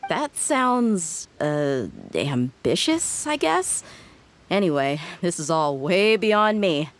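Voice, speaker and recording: A woman's voice: fairly low pitch, big pitch swings, slow. A woman playing a tough, plainspoken young female mercenary with a casual, assured manner in a video game. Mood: easygoing, wry. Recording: faint game ambience behind.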